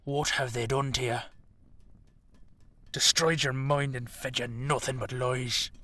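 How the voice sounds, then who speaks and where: A man's voice: mid-range voice, steady tone, unhurried, pushed and bright. Guy playing a stern old dragon king dad, proud and bossy, formal warlord talk, fantasy audio play. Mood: indignant. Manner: commanding, formal, imperious. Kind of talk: dramatic audio roleplay acting.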